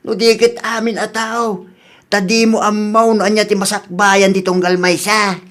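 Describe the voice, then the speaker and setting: A man's voice: sits high, little pitch movement, normal speed, a bit bright. A man narrating a scripted, moralising introduction to a radio drama.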